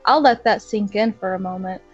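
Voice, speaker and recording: A woman's voice: medium pitch, a little fast, darkish tone, breathy edge. A young woman reading amateur fan fiction aloud, often giggling between lines. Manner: reads aloud with frequent giggles. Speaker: co-reader. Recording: dull lo-fi home recording. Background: faint music.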